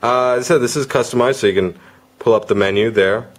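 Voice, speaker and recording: A man giving a casual, unscripted product review, halting and rephrasing as he goes. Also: mid-range voice.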